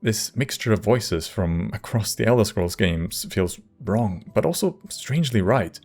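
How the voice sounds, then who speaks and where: A man reading scripted video essay narration in a measured, wry manner. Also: on the low side, lively intonation, darkish tone, soft background music.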